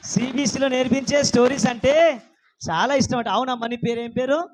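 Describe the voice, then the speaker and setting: A man's voice: very high-pitched, fairly even intonation, brisk. A man with a Telangana accent hosting a children's puppet show, bantering playfully, coaxing and repeating things back as questions.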